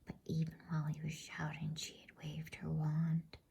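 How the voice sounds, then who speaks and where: A woman reading a bedtime storybook aloud in a soothing, unhurried ASMR whisper. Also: deep voice, completely flat delivery, gravelly, mellow, husky.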